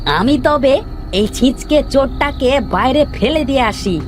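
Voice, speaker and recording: A man plays a jumpy cartoon henchman, servile and excitable. His pitch jumps about a great deal, and music plays clearly behind him. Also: unhurried. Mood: panicked. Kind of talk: Bengali cartoon voice acting.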